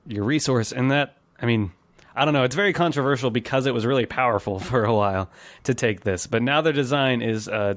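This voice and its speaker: A man's voice: medium pitch, dry recording. A man hosting a casual gaming podcast, chatty and explanatory, with an easy laugh. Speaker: podcast host.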